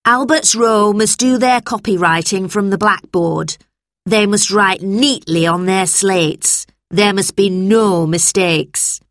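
A woman's voice: mid-range voice, takes it slowly, no echo. A woman acting a stern, bad-tempered schoolmistress, scolding. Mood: angry, scolding. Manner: shouting, scolding, imperious. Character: large, stern, bad-tempered schoolmistress who bullies children. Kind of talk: children's storybook character voice.

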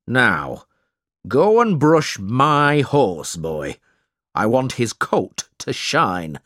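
A man voicing a cruel, boastful male schoolmaster in an audiobook story, speaking in a loud, blustering, bullying way. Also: medium pitch, big pitch swings, extremely slow, dry recording, clean studio sound, exaggerated voice. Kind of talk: audiobook character reading.

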